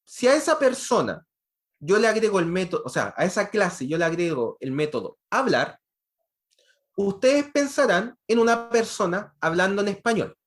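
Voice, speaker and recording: A man's voice: high-pitched, very animated, moderate pace. A man giving an online university lecture on programming, explaining step by step and checking in with frequent rhetorical questions.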